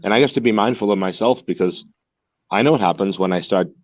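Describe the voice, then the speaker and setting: A man's voice: on the low side, fast talker, no room sound, highs cut off. A man in his forties, a guest in a candid interview, talking earnestly and repeating words as he searches for them. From United States. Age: 40s. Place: home in Mexico.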